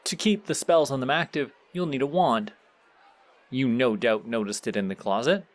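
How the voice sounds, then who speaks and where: A man's voice: medium pitch, normal speed, a faint crowd, lightly acted. A man playing a pleasant supervillain boss in an audio roleplay, speaking politely and formally as he instructs the listener. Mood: gently instructing. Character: courteous, surprisingly pleasant male supervillain boss.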